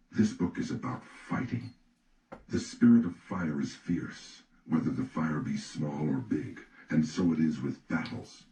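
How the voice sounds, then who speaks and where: A man reading an old treatise as an audiobook narrator, in a measured, solemn, declarative manner. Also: extremely low pitch, creaky, warm tone. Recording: roomy, distant mic with faint noise.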